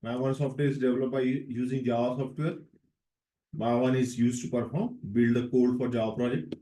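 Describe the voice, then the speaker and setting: A man's voice: medium pitch, steady tone, fairly quick, mellow, low-quality recording. A young man with an Indian English accent teaching a live online tech lesson, repeating himself and often checking that students follow. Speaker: DevOps instructor.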